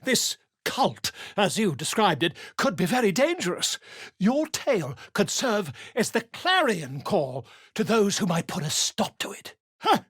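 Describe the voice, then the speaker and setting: A pompous male bard for a fantasy game, all theatrical flourish and wordy grandeur. His husky voice leaps up and down expressively, and the recording is dry and close. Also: sits high, big pitch swings, unhurried, fairly bright tone, no room sound, heavily acted. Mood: courteous, eager. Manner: florid, grandiose, wordy delivery. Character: pompous, flamboyant male bard and self-important author. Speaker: video game character actor.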